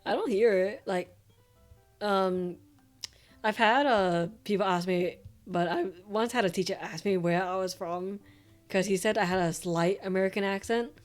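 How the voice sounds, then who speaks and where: A woman's voice: mid-range voice, normal speed, faint music behind. A young woman with an Australian accent, chatting loosely with viewers on a stream, full of fillers and the odd swear word. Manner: loose and rambling, with casual swearing and many fillers. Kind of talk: casual stream chat.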